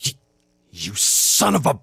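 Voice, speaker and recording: A proud young man, a commoner student from a video game, speaks with earnest, defensive precision. His pitch moves animatedly, and the recording is close and dry. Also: huge pitch swings, unhurried, vocal fry, pushed and bright, slightly rough, dry recording, soft background music, full character voice. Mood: indignant.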